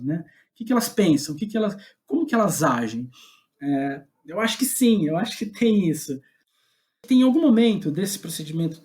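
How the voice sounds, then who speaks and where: A man with a Brazilian Portuguese accent, speaking as a guest playwright in a reflective interview, halting as he thinks aloud and often checking for agreement. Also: high-pitched, darkish tone. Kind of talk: reflective interview talk about own writing.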